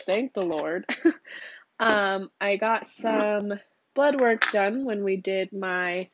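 A woman's voice: fairly high pitch, highs cut off, a little hiss or hum. A young woman with a cold, chatting casually and rambling on her podcast from home, with lots of ums and likes. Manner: rambling, casual, laughs often, says um and like. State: sick with a cold and cough. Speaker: podcast host. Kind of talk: casual solo podcast chat. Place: home room with a fan.

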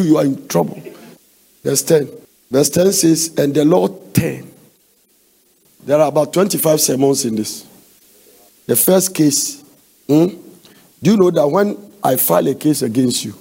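Gravelly, raspy Ghanaian preacher man. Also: on the high side, mellow. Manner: emphatic, repetitive, conversational asides. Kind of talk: sermon with questions to the congregation.

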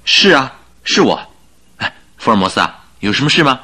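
An actor playing a good-natured, wry husband and detective's companion in a radio drama, bantering and exclamatory. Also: male voice, medium pitch, sing-song, fairly quick, pushed and bright, husky, exaggerated voice. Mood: eager.

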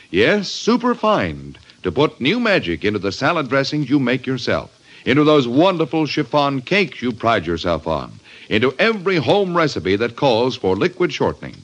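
A man's voice: medium pitch, wildly swooping pitch, normal speed, a bit bright. A man announcing and narrating a scripted 1950s radio show. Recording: noisy old radio recording.

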